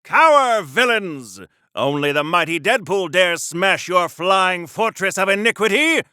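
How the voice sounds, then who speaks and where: An actor playing an earnest, pompous 1930s American superhero in a theatrical old-time radio serial style for a video game. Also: male voice, sits high, animated, unhurried, pushed and bright, clean tone, dry recording, studio quality, heavily acted. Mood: heroic, indignant. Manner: theatrical, pompous, old-fashioned patriotic announcer delivery. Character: earnest, bombastic 1930s American male golden-age superhero. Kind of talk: 1930s-40s radio serial hero acting.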